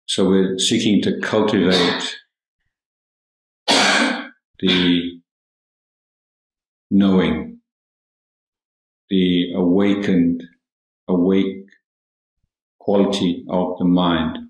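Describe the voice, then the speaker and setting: A man giving a guided meditation talk as a Buddhist teacher, calm and measured, with long pauses between short phrases. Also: deep voice. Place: indoor room at a monastery. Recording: roomy, echoing hall sound.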